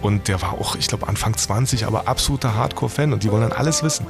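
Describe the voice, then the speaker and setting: A man's voice: on the low side, fast talker, gravelly. A man chatting casually in an interview. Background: music.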